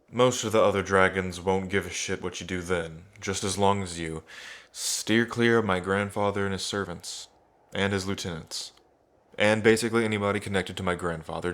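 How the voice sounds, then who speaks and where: A man's voice: low voice, steady tone, moderate pace, a bit bright. A man playing a young dragon king in an ASMR roleplay, cocky, playful and affectionate, talking in a casual, teasing way straight to the listener. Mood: casual warning.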